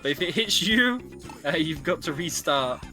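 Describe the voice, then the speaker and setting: A man's voice: a little high, lively intonation, moderate pace, bright, forceful tone, soft background music. A man giving casual, chatty co-commentary on a video game speedrun.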